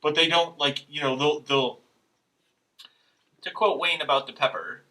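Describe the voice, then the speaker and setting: A man's voice: fairly high pitch, steady tone, unhurried, sharp tone, distant mic, poor audio. A man co-hosting a podcast in casual, enthusiastic, slangy chat. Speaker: podcast co-host.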